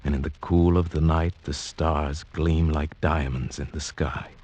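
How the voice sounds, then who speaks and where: An actor playing an earnest, dreamy outsider in love, in mid-century radio drama style with poetic, theatrical delivery. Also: male voice, extremely low pitch, fairly even intonation, unhurried, darkish tone, a little hiss or hum. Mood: ardent. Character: lovestruck sighted outsider man, village slave, earnest and dreamy. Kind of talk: 1940s-50s radio drama acting, with first-person narration. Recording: old radio drama, music underneath.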